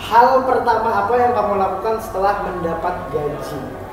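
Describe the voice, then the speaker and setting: A man asks passers-by quick, casual questions about money. His voice sits high, and it carries a long, roomy echo with a crowd chattering behind him. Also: expressive. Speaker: street interviewer. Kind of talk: casual street interview questions.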